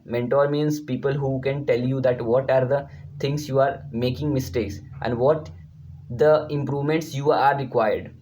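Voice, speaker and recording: Male online tutor, Indian accent, Hindi first language. Informal teaching, halting and emphatic, mid-range voice, repeats points. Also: mid-range voice, darkish tone, rough recording. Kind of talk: informal lesson to viewers.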